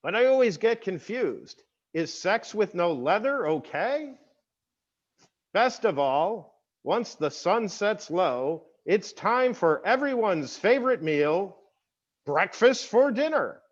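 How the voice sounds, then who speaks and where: Man playing a high, swooping, self-important complaining holiday. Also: sing-song, slow, muffled recording, full character voice. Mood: mock-pompous complaining. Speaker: rabbi. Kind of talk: scripted comic monologue, amateur readers' theater.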